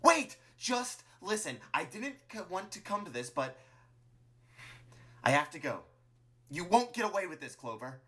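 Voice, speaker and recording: Man voicing an earnest high-pitched monster woman. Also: very animated, fairly bright tone. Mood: alarmed. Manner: earnest, halting, pleading. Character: earnest female monster, reproachful, pleading, hopeful of peace.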